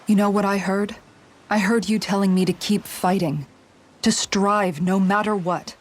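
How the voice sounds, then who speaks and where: A woman's voice: mid-range voice, steady tone, unhurried, close to an everyday voice. An actress playing a loyal young woman fighter in a video game, talking in a firm, earnest and plain-spoken way. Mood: firm, caring. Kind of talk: dramatic video game voice acting.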